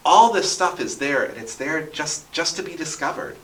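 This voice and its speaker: A man's voice: a little high, lively intonation, moderate pace, fairly bright tone, far from the mic. A man talking as an interview guest in a conversational storytelling style, emphatic and often checking in with the listener. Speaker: interview guest, radio drama producer and local history enthusiast. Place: radio studio. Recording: roomy, echoing, away from the mic.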